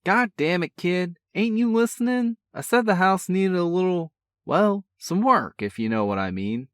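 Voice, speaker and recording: A man doing a loud, excitable older brother. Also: sits high, moderate pace, dry recording, clean studio sound, voice pushed up. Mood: excited. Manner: loud, folksy, keeps calling his brother kid. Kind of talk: audiobook character voice.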